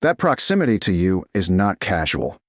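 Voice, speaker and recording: A man voicing a calm, certain, protective nobleman in an audiobook romance, with quiet, firm, clipped replies. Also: low voice, normal speed, dry recording, studio quality. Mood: intimate, firm.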